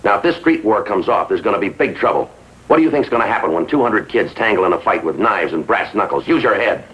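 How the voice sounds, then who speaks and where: An actor playing a stern, no-nonsense police sergeant in 1950s TV crime drama style, clipped and authoritative. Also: male voice, fairly low pitch, lively intonation, fast, fairly bright tone, slight background noise. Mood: stern warning. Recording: dull lo-fi 1950s TV soundtrack.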